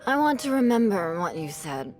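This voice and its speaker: A woman voicing a proud young female knight in a video game, tipsy, guarded and halting. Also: medium pitch, sing-song, a little mellow, soft background music, close to an everyday voice. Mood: touched, flustered. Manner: halting, slow, sighing. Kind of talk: video game cutscene acting.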